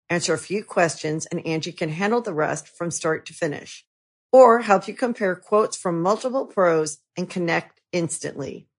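A woman reading an advertisement in an upbeat, conversational way. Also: lowish voice. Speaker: ad reader. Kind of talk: read ad with personal touch.

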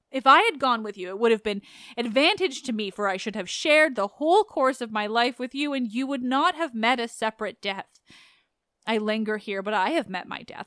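Woman voicing a desperate grieving young wife, raised lamenting voice, smooth tone, dry sound. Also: on the high side, no echo, natural voice.

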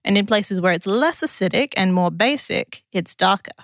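A woman's voice: medium pitch, lively intonation, moderate pace, loud music behind the voice. A woman with an Australian accent presents a scripted science explainer in a conversational, lightly wry way.

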